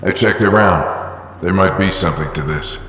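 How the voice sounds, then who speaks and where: Deep-voiced, terse commander father. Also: normal speed, bright, forceful tone. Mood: serious, urgent. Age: middle-aged. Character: stern, secretive middle-aged explorer and father. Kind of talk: video game dramatic acting. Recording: echoey hall, faint noise.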